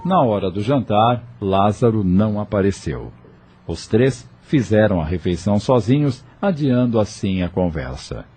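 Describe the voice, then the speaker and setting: A male narrator reads a scripted audio novel. His voice sits on the low side and unfolds the story in a measured way, with soft music behind him. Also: animated.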